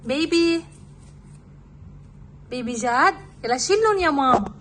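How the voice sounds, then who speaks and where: Lebanese mom, casual home craft vlogger, fairly high voice over faint noise. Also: female voice, animated, moderate pace, fairly bright tone. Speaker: mother making kids' craft videos. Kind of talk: casual homemade craft demonstration, talking to her baby.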